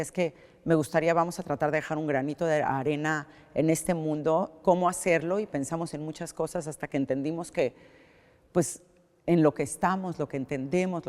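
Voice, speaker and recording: A woman's voice: sits low, a little mellow. A middle-aged woman with a Mexican accent answering interview questions, thoughtful, often restarting phrases. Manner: thoughtful, with many restarts and fillers. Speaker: art collector. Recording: long hall reverb.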